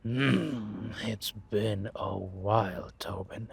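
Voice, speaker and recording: A man playing a sly, scheming vampire spy in an audio roleplay, husky and theatrical, savoring his words in drawn-out musings. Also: fairly low pitch, fairly even intonation, heavily acted. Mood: savoring, playful. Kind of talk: scripted audio roleplay monologue addressed to a companion.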